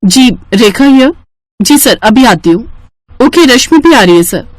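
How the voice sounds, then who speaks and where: A woman plays an affectionate elder sister and police inspector in a family story. She is teasing and warmly bossy, with a lively, swinging pitch and a dry, close sound. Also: unhurried, dry recording. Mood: brisk.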